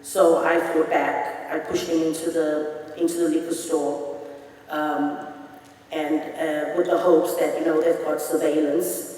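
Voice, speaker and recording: A woman's voice: low-pitched, level tone, unhurried, darkish tone. A woman in her forties speaks earnestly and emphatically, telling her own story to a meeting. Her voice rings around a large, echoing hall. She sounds set back from the microphone. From South Africa. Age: 40s. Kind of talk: personal testimony. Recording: echoey hall, voice from far off.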